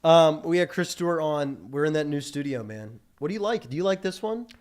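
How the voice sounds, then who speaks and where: A man's voice: a little high, animated, a little fast, darkish tone. A twenty-year-old man hosting a casual podcast in a small studio, talking loosely with lots of fillers and restarts. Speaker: podcast host. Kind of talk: casual chat. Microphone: studio mic on boom arm.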